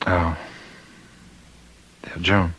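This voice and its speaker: An actor playing an anguished, weary prison warden in an old radio drama, halting and strained. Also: male voice, low voice, level tone, fairly bright tone, a little hiss or hum, exaggerated voice. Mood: tense suspicion.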